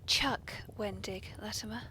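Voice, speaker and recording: A British woman with a breathy voice, hosting a whimsical podcast in a genteel, playfully polite manner. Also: medium pitch, measured pace, pushed and bright, a little hiss or hum. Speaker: podcast host.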